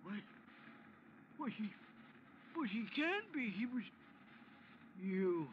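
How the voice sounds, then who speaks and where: An actor playing a grieving, loyal old yard worker in a vintage radio drama, with halting, rustic, folksy speech. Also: male voice, sits high, sing-song, heavily acted. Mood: shocked, furious. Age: elderly. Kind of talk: 1950s-60s radio drama acting. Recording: muffled old radio recording, faint noise.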